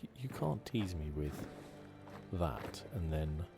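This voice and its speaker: A man giving relaxed ASMR-style game commentary with wry asides, speaking softly into a close microphone. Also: very deep voice, slow pace, creaky. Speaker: gaming video host. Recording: loud game music behind.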